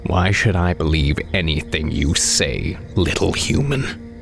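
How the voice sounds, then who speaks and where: An actor playing a bitter, proud ancient elf warrior in a fantasy game, with a whispery gravelly rasp and brooding contempt. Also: male voice, deep voice, expressive, unhurried, exaggerated voice. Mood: suspicious. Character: bitter, proud ancient elven demon hunter, long imprisoned. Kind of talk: dramatic fantasy game cinematic acting. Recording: loud music under the voice.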